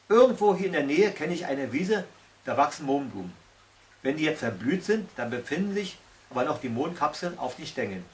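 A man voicing a helpful, resourceful butterfly in a storybook, with a brisk, practical manner. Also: fairly high pitch, far from the mic.

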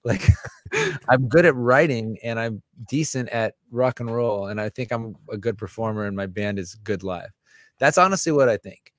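A man's voice: moderate pace, dry recording. Scratchy-voiced man, dry close mic. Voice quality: scratchy low voice. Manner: animated, self-deprecating, swears casually. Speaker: musician and author, guest. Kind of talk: candid conversational interview. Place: home room with a studio microphone. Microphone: large studio condenser mic on a stand.

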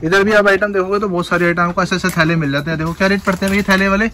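A man hosting a market tour vlog, repeating prices in quick, repetitive patter inside a busy wholesale shop. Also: high-pitched, steady tone, background noise. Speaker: vlogger host.

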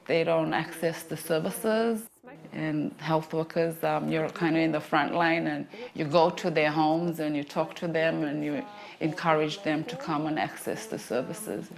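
Soft-spoken woman, lowish voice. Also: unhurried, creaky, a crowd in the background. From Torres Strait Islands. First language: Torres Strait Islander language. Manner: calm, soft-spoken, many "um" and "like" fillers. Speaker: health worker. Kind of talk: clinic consultation and interview. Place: small clinic consulting room, indoors.

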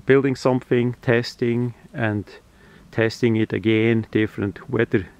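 Man explaining slowly in halting short phrases. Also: mid-range voice, fairly even intonation, darkish tone, dry recording, highs cut off. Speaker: hobbyist presenter. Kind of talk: unscripted how-to demonstration. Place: outdoors, over a ground tarp.

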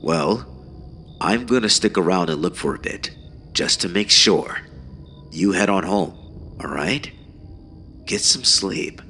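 A concerned police officer, voiced by a man, talks in a low, calming, practical way. He sounds like a character in a horror story, with faint music underneath. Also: slow, long pauses, full character voice. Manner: calming, questioning.

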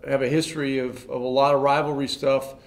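An older man in his sixties, a head coach answering reporters' questions after a game with a measured delivery and many fillers. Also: mid-range voice, little pitch movement. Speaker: head coach at a press conference. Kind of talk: post-game press conference answers. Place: press room in front of a sponsor backdrop.

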